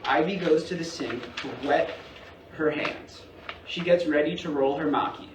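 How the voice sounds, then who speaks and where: Higher-voiced man narrating, distant and echoey. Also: moderate pace. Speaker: stage-directions reader. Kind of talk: scripted narration at a staged play reading. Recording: distant, echoey, faintly noisy staged reading.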